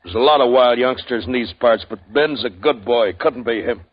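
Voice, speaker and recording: An actor playing a weary, reluctant frontier sheriff with a gruff, grudging manner in a 1950s radio drama. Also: male voice, medium pitch, moderate pace, natural voice. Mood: defensive. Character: weary, reluctant old-west sheriff, stubborn. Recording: dull old radio broadcast.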